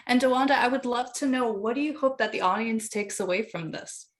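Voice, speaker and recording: A woman's voice: a little high, brisk. Woman interviewer, mid-range voice; courteous, prepared questions to guests.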